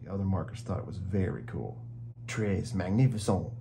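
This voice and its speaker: A man reads a children's story in a low, mellow voice. His delivery is expressive and lively, with emphasis on key words. A faint hum sits behind him. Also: fast, vocal fry. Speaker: story reader. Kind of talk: children's picture book read-aloud.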